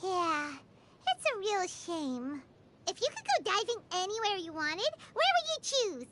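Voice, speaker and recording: A woman voicing a tiny, childlike, excitable and money-loving fairy companion in a video game, with squeaky exclamations. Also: unhurried, noisy background, heavily acted. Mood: chatty, wistful. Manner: exclamatory, speaks of self in third person.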